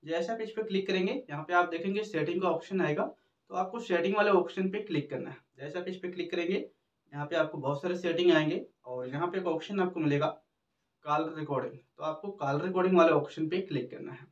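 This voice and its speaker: A man's voice: on the high side, fast. A man, explaining phone settings step by step to camera in a repetitive tutorial manner. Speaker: tech tutorial host. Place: small indoor room with backdrop. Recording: roomy, distant mic, dull muffled sound.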